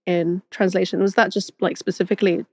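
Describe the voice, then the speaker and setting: A woman's voice: lowish voice, little pitch movement, dry recording. Laughing young woman hosting, dry sound. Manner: friendly, laughs often, quick reactions. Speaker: podcast host. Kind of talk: casual conversational interview.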